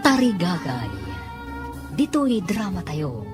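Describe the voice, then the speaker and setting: A woman's voice: on the low side, sing-song, slow pace. A woman delivering theatrical scripted narration as a radio serial announcer. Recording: music bed behind voice. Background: clear music.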